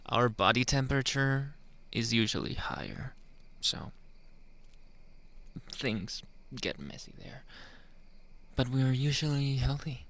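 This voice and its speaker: Slow, gravelly man playing a flirty werewolf. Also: mid-range voice, animated, a bit bright. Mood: boastful, playful. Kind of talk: ASMR roleplay monologue.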